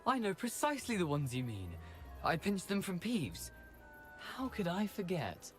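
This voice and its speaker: A headless schoolboy ghost from a video game, speaking with a British accent. He is theatrical and chatty, with wry self-deprecation and a highly animated melody. Faint music plays behind him. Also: moderate pace. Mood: rueful, wry. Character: decapitated schoolboy ghost, rueful, breezy and self-amused. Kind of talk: video game character acting.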